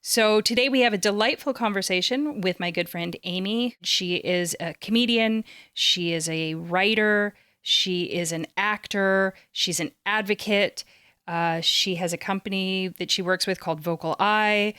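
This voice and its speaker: Female podcast host, chatty and effusive, clean smooth voice, dry close sound. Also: mid-range voice, a little slow, pushed and bright, no room sound. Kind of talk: casual podcast hosting.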